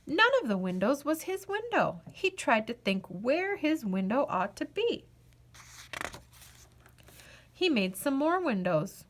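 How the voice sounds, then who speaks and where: High-pitched, lively woman reading a storybook. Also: takes it slowly, pauses often, slight background noise. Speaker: children's storybook reader. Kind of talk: expressive scripted picture-book narration.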